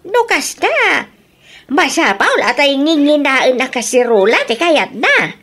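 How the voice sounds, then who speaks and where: A woman's voice: sits high, very animated, unhurried, a bit bright, heavily acted. A woman voicing a chatty older female neighbor in a radio drama, exclaiming and teasing.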